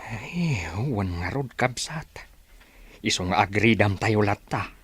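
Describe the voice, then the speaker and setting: Low-voiced troubled village man played by an actor. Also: animated, slow, a bit bright, breathy edge, heavily acted. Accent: Ilocano. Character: troubled, resigned male villager. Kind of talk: Ilocano radio drama acting.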